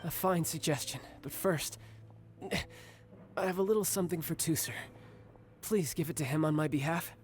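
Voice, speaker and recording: A man voicing a cocky young warrior and doting big brother in a video game, injured and speaking with smooth, teasing flourish. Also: a little high, normal speed, long pauses, lightly acted. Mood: tender. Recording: background music.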